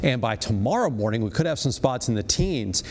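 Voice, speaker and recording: A man's voice: medium pitch, sing-song, darkish tone, big-room sound, slight background noise. A man giving the morning weather forecast on local TV in a conversational way. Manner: conversational, brisk. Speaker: meteorologist.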